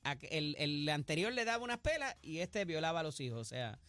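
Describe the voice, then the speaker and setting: A man's voice: a little high, very animated, a little fast, a bit bright, no room sound, faint music behind. Man hosting on dry studio mic. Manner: thoughtful, hedging with fillers and tag questions. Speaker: radio show host. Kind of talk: casual radio panel chat.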